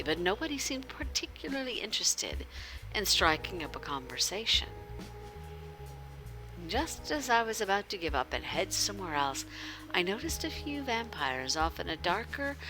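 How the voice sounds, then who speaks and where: A woman's voice: lowish voice, low-quality recording. A woman hosting a livestream, mixing playful chat with theatrical story narration and dropping at times into whispers. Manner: animated, theatrical, drops into whispers. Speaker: livestream host reading a visual novel with chat.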